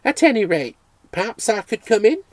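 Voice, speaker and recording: A man puts on a haughty young woman, reaching far above his own range for her. She talks primly, separating every word with care. The voice carries a soft husk underneath and sits in a dry, close space. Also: sits high, very animated, normal speed, dry recording, voice pushed up. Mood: coolly insistent. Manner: prim, spells words out carefully. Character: haughty poised young red-haired woman.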